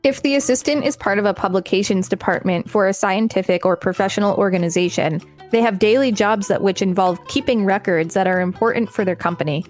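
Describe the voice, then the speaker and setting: A woman's voice: medium pitch, a little fast, hardly any pauses, faint music behind. A woman co-hosting a podcast, reading an encyclopedia article aloud with some casual banter. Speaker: podcast co-host.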